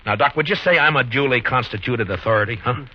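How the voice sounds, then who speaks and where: Firm frontier marshal, old radio. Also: male voice, medium pitch, pushed and bright. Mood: dry, persuasive. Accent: American. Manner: deliberate, authoritative. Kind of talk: 1950s American radio western acting. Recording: dull, noisy 1950s radio recording.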